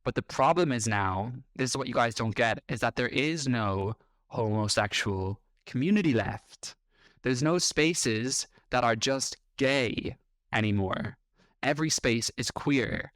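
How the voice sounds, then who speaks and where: A man's voice: mid-range voice, animated, measured pace, a bit bright, no echo, studio quality. An Irish man in his thirties, a podcast host reacting to clips in a blunt, combative and sarcastic way. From Ireland. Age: 30s.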